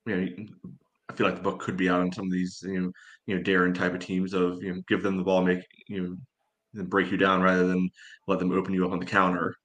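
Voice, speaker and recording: A man co-hosting a casual sports analysis chat in a halting way, leaning heavily on "you know". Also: low-pitched, monotone, a bit bright. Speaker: podcast co-host and game analyst.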